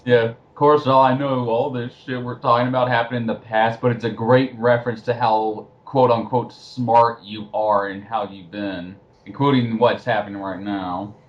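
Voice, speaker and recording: A man's voice: medium pitch, steady tone, normal speed, hardly any pauses, dull audio. A man chiming in as a casual co-commentator in a group discussion, swearing now and then.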